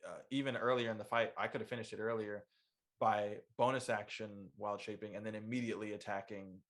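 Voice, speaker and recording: Excitable guy rambling about his turn, lots of ums and uhs, swears casually. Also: fairly low pitch, fairly quick. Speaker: player. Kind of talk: casual post-game chat.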